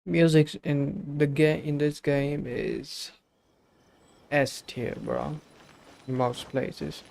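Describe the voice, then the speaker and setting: Chill guy streaming a game, monotone and croaky, slangy casual talk, slight background noise. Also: a little high, drawn-out words. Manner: laid-back, slangy. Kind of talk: casual gameplay commentary.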